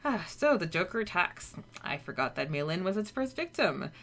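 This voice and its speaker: Lower-voiced woman chatting casually. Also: very animated, moderate pace, a bit bright. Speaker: podcast co-host. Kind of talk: casual chat, recapping and discussing a story.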